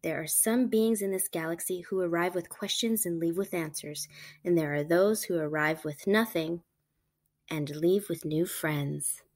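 A woman reading a picture book aloud to children, with animated, emphatic storytelling delivery. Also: lowish voice, unhurried. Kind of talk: expressive read-aloud narration for children.

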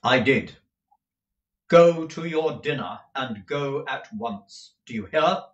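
A man's voice: medium pitch, expressive, takes it slowly, roomy sound, recorded from a distance, muffled recording, voice pushed up. A man reading aloud and voicing a cold, domineering older moneylender who speaks curtly and dryly. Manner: curt, dry, peremptory.

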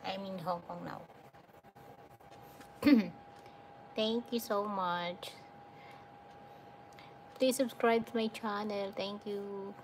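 A Filipino woman in her thirties chatting slowly and softly with viewers during a phone live stream at night. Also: medium pitch, fairly even intonation, a little hiss or hum, rough recording. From Philippines. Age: 30s. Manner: slow, soft-spoken, thanks viewers often. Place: dim room at night, indoors. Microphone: phone held close.

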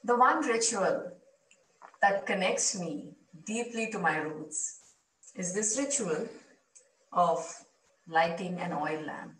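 A woman in her thirties telling a reflective personal story to camera in measured, deliberate phrases, recorded from a distance. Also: on the low side, fairly bright tone. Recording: roomy, distant microphone via video playback.

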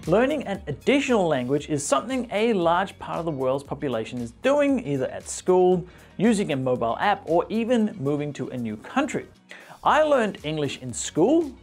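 Animated male tech news host, music behind. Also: on the high side. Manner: animated, playfully wry. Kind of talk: scripted tech news presenting to camera. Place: small indoor studio. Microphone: lapel mic.

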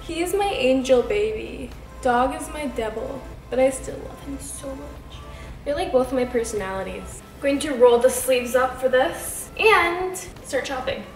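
A woman's voice: high-pitched, a little slow. A woman in her twenties chatting informally and casually as a vlogger while she cooks at home. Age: 20s. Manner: informal, chatty, talks affectionately to pets. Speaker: vlogger and host of a home cooking series. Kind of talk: casual vlog chat while cooking. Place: home kitchen, indoors. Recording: echoey room, music behind.

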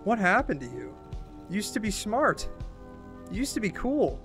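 A man's voice: mid-range voice, normal speed, soft background music, lightly acted. A man voicing a bitter, exasperated young woman from a game, with a blunt, sarcastic, scolding manner. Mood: bitter anger.

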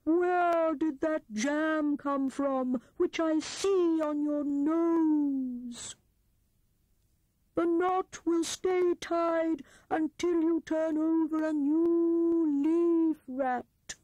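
A woman voicing a stern wise old male owl in a storybook, with drawn-out, hooting, disapproving words. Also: high-pitched, fairly even intonation, warm tone, dry recording, pitched above the performer's own voice, poor audio. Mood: stern, reproving.

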